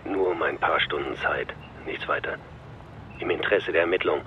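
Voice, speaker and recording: An actor playing an unhurried, stubborn police commissioner in a radio crime drama, speaking tersely and with calm insistence. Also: male voice, extremely low pitch, highs cut off, slight background noise. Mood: calm, commanding.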